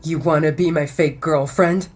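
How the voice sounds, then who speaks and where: A woman's voice: low-pitched, steady tone, moderate pace, a little mellow. A woman voicing a stern, aloof male alpha werewolf in an audio romance, curt and commanding. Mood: amused doubt.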